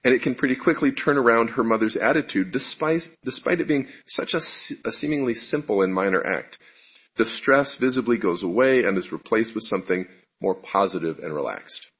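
Male preacher, deep and husky voice, conversational sermon, muffled lo-fi recording. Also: brisk, fairly bright tone. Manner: conversational, self-deprecating, asks the audience questions. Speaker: preacher giving a congregation talk.

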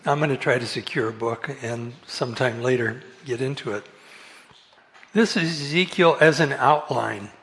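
Soft-spoken man giving a Bible talk. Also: mid-range voice, normal speed, slightly rough. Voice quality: soft-voiced. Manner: relaxed, self-correcting, chuckles often. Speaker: Bible study speaker. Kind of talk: Bible study lecture. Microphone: amplified microphone.

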